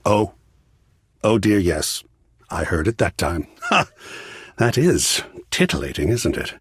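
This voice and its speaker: Wildly expressive, flamboyant art curator. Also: male voice, on the low side, breathy edge, no room sound, clean studio sound, full character voice. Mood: titillated, coy. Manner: grandiloquent, dramatic drawn-out phrasing, swooping exclamations. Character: flamboyant theatrical male art curator, effusive, cultured and fussy. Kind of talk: video game character voice lines.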